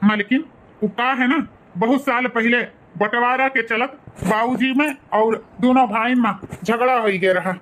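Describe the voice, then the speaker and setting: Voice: mid-range voice, measured pace, a bit bright, close to an everyday voice, poor audio. A performer voicing a weary, resigned old village father-in-law in a cartoon, with an Awadhi accent. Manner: resigned, pleading.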